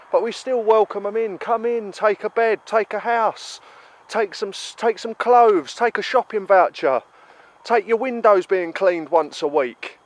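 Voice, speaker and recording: A British man with a stuffy nose from a cold, ranting heatedly in an emphatic monologue full of repeated rhetorical questions. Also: high voice. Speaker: vlogger.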